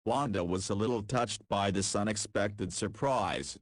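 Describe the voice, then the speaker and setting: A man narrates the story in a lowish voice with an even, measured delivery. The sound is dry and close to the mic, with no room in it. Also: dry recording. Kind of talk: scripted narration for a visual novel.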